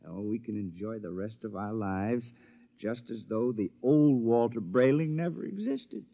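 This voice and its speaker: An actor playing a scheming male robot double of a husband in a 1950s radio play, smooth and falsely reassuring, in a dull old recording. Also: fairly low pitch, lively intonation, measured pace, soft background music, exaggerated voice. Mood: scheming calm. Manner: smooth, falsely reassuring, then coldly threatening.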